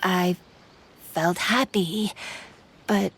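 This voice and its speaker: A woman voicing a shy, melancholy spirit in a video game, soft and breathy, hesitant and sorrowful. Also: fairly low pitch, steady tone, drawn-out words, slight background noise, heavily acted. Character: melancholy female game spirit, shy, wistful, guilt-ridden.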